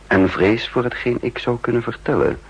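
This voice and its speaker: A man narrating in the first person in a 1960s radio spy drama, measured and guarded. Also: mid-range voice, expressive, vocal fry, breathy edge. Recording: dull lo-fi 1960s radio recording.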